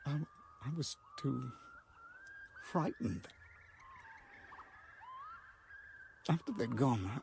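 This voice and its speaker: An actor playing a haunted, halting man who recalls a wartime childhood in a radio drama. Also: medium pitch, vocal fry, darkish tone, slight background noise. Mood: shaken, haunted. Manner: halting, fragmented, hesitant delivery.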